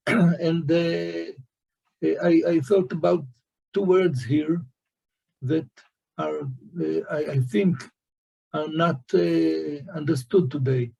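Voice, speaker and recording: Slow, gravelly-voiced man, native Hebrew speaker. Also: on the high side, fairly even intonation, warm tone, low-quality recording. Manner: slow and halting, many fillers. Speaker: guest scholar. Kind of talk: academic discussion.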